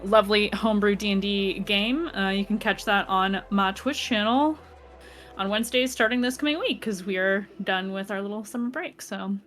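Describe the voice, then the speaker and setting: A woman's voice: medium pitch, moderate pace, fairly bright tone. A woman, a guest streamer, talking in a chatty way with many fillers. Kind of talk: casual stream chat.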